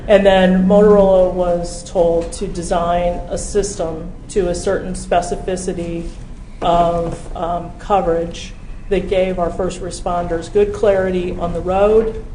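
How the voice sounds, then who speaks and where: An American woman giving an informal briefing at a public meeting in a halting, measured way. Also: lowish voice, flat delivery, dark tone. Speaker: county official introducing a presentation. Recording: echoey room with background noise.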